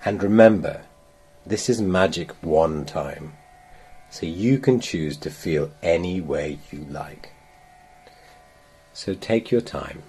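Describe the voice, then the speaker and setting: A man guiding a meditation with gentle, measured, unhurried instructions and long pauses. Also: low voice, slight background noise. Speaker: guided meditation narrator. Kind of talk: guided meditation, slow soothing instruction.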